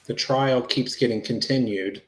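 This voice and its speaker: A man's voice: medium pitch, a little slow, lightly acted. A man reading the part of a patient investigator in a police interview, explaining things plainly and casually. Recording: roomy, echoey sound.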